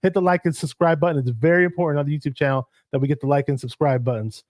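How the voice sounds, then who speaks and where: A man whose first language is Arabic, co-hosting a casual sports livestream and shouting out viewers in the chat. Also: on the high side, fairly even intonation, rapid-fire, dry recording, studio quality. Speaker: podcast co-host.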